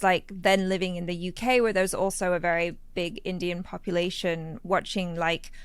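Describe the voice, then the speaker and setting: Chatty woman with a clear, smooth voice. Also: medium pitch, no echo. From Thailand. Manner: chatty, laughing, playful asides. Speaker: guest, reaction channel creator. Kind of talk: casual interview storytelling.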